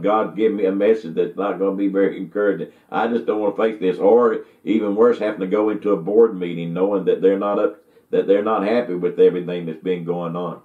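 An older man gives a rambling Bible lesson, stumbling into restarts and filling pauses with "uh." His voice sits fairly low, and it comes through thin and phone-like. The room rings with echo, and he sounds set back from the mic. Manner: folksy, rambling, with many restarts and fillers. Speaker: Bible teacher. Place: indoor study with bookshelves. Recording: tinny, echoey, from far off.

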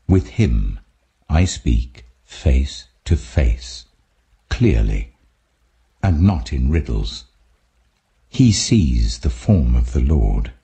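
A man voicing a stern, majestic God in scripture narration, grave, commanding and deliberate. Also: very deep voice, sing-song, vocal fry, dark tone, pitched below the performer's own voice, heavily acted.

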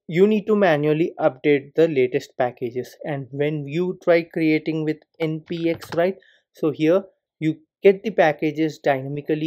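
A man narrating a screen-recorded coding tutorial, walking through each step and leaning often on the word "particular". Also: on the high side. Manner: steady step-by-step explaining, repeats "particular".

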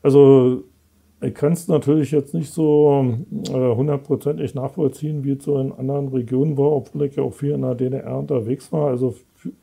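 A man, a guest author talking in a relaxed, rambling way with many fillers, on a lapel mic in a studio. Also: mid-range voice, fairly quick, vocal fry, slightly rough. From Berlin, East Germany. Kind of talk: relaxed conversational interview. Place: studio set with brick wall.

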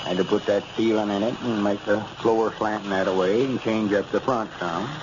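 A man's voice: fairly low pitch, steady tone, moderate pace, lightly acted. An older country storekeeper, played by a male radio actor, scheming and self-important. He grumbles in a folksy rural Arkansas drawl, in the style of 1940s radio comedy. The sound is dull and plainly noisy. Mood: businesslike. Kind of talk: 1930s-40s radio comedy acting. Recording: muffled, noisy old radio recording.

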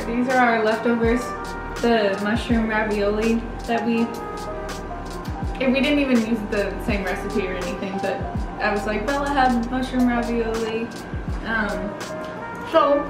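A woman in her twenties, talking casually and excitedly to a handheld camera while touring a rental house. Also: on the high side, low-quality recording. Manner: bubbly, excited, filler-heavy. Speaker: travel vlogger. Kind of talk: casual vlog chat. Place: rental house indoors and forest outdoors. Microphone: handheld camera at arm's length.

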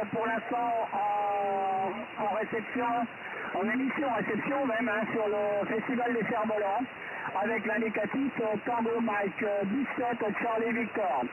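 A man talking as an amateur radio operator, hesitant and casual, over a radio link. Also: very high-pitched. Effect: single-sideband radio transmission. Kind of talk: casual ham radio contact with spelled callsigns. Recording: narrow, noisy shortwave-style satellite radio link.

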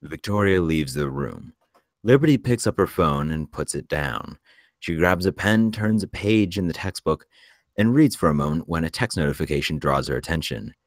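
A man's voice: extremely low pitch, expressive, normal speed, dry recording, studio quality. A man reading screenplay stage directions as scripted narration for a table read.

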